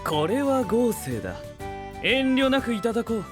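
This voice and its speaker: A stiff, suspicious young inventor in an anime audio drama. He is pompous and formal, high for a man, with swinging intonation and soft music behind. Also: big pitch swings, normal speed. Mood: self-assured. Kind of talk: Japanese anime-style audio drama acting.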